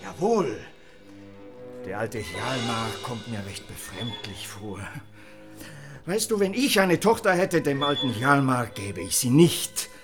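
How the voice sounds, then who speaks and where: A man's voice: mid-range voice, big pitch swings, takes it slowly, over music. An actor playing a gloomy, pitying castle night watchman in a radio play, brooding and theatrical. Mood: confiding, pitying. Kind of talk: German radio play acting.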